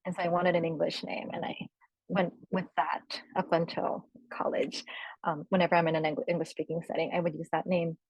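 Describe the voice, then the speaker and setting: Young woman from korea, lowish voice, dry close sound, reflective panel talk. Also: flat delivery, a little fast, breathy edge, dry recording, low-quality recording. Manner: reflective, frequent fillers and soft laughs. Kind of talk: personal reflection in a panel chat.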